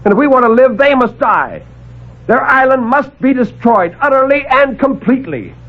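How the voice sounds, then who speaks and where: An actor playing a desperate escaped captive who pleads urgently and haltingly to be believed, in 1950s radio drama style. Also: male voice, very high-pitched, expressive. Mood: urgent, fearful. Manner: urgent, emphatic, halting delivery. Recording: narrowband old AM radio recording.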